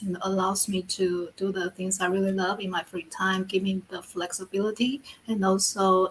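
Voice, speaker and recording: Soft-spoken woman. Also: medium pitch, a little hiss or hum, low-quality recording. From Taiwan. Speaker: panel guest. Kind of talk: live online business chat.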